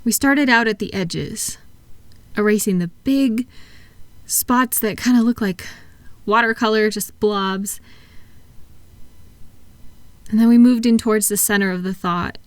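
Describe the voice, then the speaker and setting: A woman playing a kind therapist in a comfort audio roleplay, speaking softly and gently, reassuring and encouraging her patient without hurry. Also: medium pitch, a little slow, long pauses, no echo, natural voice. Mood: soothing, reflective. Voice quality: soft-spoken. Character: kind, soothing woman therapist guiding a patient with futuristic tablet.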